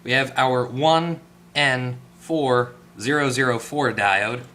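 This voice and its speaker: A man's voice: medium pitch, takes it slowly, sharp tone. A man hosting a hands-on electronics tutorial, explaining casually and off the cuff, thinking aloud and correcting himself as he goes. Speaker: host of an electronics repair tutorial.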